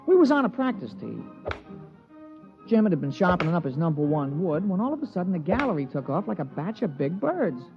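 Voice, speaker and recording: An actor playing an excitable, folksy golf caddy in a 1950s radio drama, talking in slangy, ungrammatical working-class style. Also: male voice, sits high, fairly quick. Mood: folksy narration. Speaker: narrator and sidekick character. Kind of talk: 1950s radio drama acting, colloquial narration. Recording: dull old radio broadcast recording, music behind.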